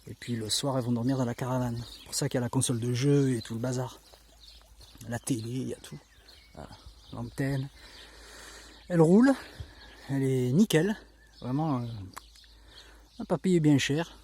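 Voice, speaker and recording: A middle-aged man with a southern French accent from the Var talks easily and chattily, voice sitting at an ordinary mid pitch. He is filming himself outdoors in the countryside on a handheld camera. Faint birdsong and rural ambience drift in behind him. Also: moderate pace, long pauses, a little hiss or hum. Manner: chatty, relaxed, frequent fillers. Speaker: vlogger. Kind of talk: casual walk-through tour.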